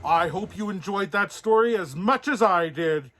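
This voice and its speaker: A man with a slightly high voice reads a children's story aloud as the storyteller. His delivery is measured and expressive, and there is noticeable noise behind him. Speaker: storyteller in a Santa persona, host.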